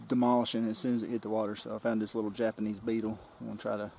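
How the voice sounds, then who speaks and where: A man's voice: lowish voice, rapid-fire, gravelly, a little hiss or hum. Outdoor vlog host, man, 40s, easygoing, faint creekside ambience. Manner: relaxed, explains as he fishes. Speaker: outdoor vlogger. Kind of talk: casual outdoor vlog narration. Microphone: camera-mounted mic, close framing. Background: faint outdoor nature ambience.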